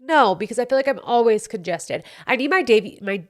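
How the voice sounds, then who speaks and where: A woman's voice: a little high, expressive, a little fast. Young woman, stuffy nose, casual podcast chatter, candid, playful, close studio mic. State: congested. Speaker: podcast host. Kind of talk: casual solo podcast chat.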